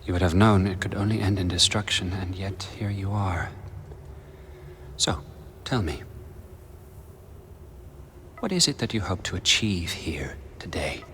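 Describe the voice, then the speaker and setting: An actor playing a smug, condescending villain in a video game, speaking with formal, measured menace. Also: male voice, sits low, fairly bright tone, a little hiss or hum. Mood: mocking menace. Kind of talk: video game cutscene acting.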